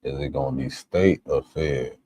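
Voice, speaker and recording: A man's voice: extremely low pitch, normal speed, dark tone, slightly rough, no echo. A male streamer in casual, laid-back reaction commentary, musing aloud and swearing freely.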